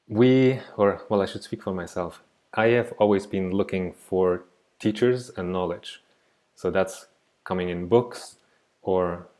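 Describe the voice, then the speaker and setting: A man in his thirties from Switzerland presents an art class over a video call. His low voice stays soft-spoken and reflective, and he wanders into side thoughts. It comes through a laptop mic. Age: 30s. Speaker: art teacher.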